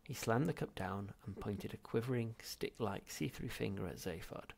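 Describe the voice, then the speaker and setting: A man narrates a story from a written script. His voice sits a little low, with very heavy creak and a husky, rough grain. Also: moderate pace, darkish tone. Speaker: audiobook reader.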